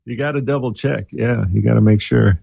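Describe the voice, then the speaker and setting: Male guest, low gravelly voice, hesitant and filler-heavy, dry sound. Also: warm tone, no echo, low-quality recording. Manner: halting, hesitant, lots of fillers. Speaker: guest musician and video maker. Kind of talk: casual chat. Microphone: headphones and home microphone.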